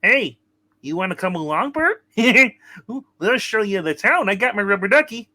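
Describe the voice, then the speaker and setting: A woman's voice: medium pitch, expressive, normal speed, bright, forceful tone. A woman voicing a cheerful, silly frog puppet who plays a cab driver, goofy and exuberant. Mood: playful. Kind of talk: live comedic puppet play reading.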